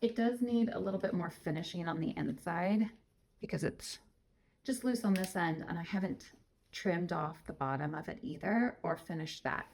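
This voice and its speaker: A woman sewing vlogger chats warmly to her viewers as she works through a dress pattern. Her voice sits somewhat low, and a faint noise floor hums under the recording. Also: moderate pace. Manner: chatty, addresses viewers as "my darlings". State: croaky from a cold. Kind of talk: casual sewing vlog talk to viewers.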